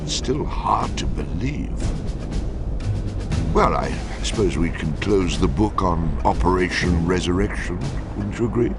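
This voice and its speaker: An actor playing a composed, authoritative senior intelligence chief in a video game. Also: male voice, sits low, animated, unhurried. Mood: composed, satisfied. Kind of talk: dramatic video game acting. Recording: loud music over the voice.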